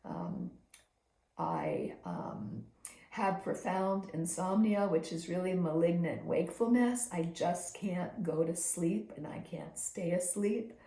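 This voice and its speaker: Hoarse woman doing a health video diary, slow and kinda low, lots of ums. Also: big pitch swings, dark tone, echoey room. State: short of breath. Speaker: video diarist. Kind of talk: personal health video journal.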